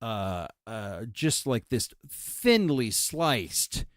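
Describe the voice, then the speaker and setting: A man's voice: on the low side, very animated, very slow, no echo, studio quality. A man co-hosting a casual podcast, making wry, blunt jokes and quips. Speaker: podcast co-host. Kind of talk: casual chat.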